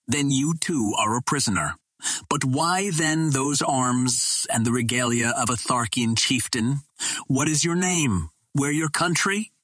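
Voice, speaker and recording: A man voicing a proud young captive Martian princess in an adventure storybook, formal and questioning, with a husky voice. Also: medium pitch, a little slow, a bit bright, dry recording, pitched above the performer's own voice. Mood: bewildered.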